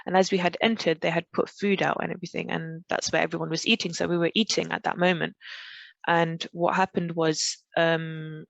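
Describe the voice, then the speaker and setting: A woman from Pakistan tells a personal story as a podcast guest. She speaks reflectively and haltingly, restarting phrases often, in a gravelly voice with little rise and fall in pitch. The recording is dull, dry and lo-fi, like a remote call mic. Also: little pitch movement, no echo.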